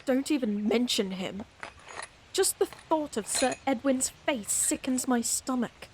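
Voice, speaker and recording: A woman acting as a high-voiced lady knight in a fantasy audio drama, proud and easily indignant. She speaks in formal, haughty phrases with a cutting edge. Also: animated, unhurried, darkish tone, a little hiss or hum, low-quality recording. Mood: disgusted.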